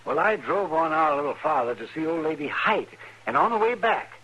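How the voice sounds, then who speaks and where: An actor playing a chatty, crusty older town doctor with a raspy voice and folksy manner in a radio Western. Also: male voice, fairly high pitch, a little fast, heavily acted, poor audio. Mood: puzzled, chatty. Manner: animated, folksy storytelling. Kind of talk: old-time radio Western acting.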